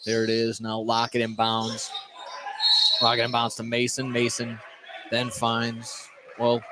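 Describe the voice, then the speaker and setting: A man delivers live basketball play-by-play for radio at a middling pitch. He is in an indoor gymnasium, with clear noise all around his voice. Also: completely flat delivery, unhurried. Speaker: play-by-play sports announcer. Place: indoor school gymnasium during a game. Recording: noisy gym, loud background noise.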